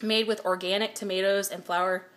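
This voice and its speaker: A woman's voice: mid-range voice, little pitch movement, normal speed. Chatty woman vlogging a grocery haul. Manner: chatty, reads prices aloud with fillers. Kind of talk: casual grocery haul chat. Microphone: phone camera held close.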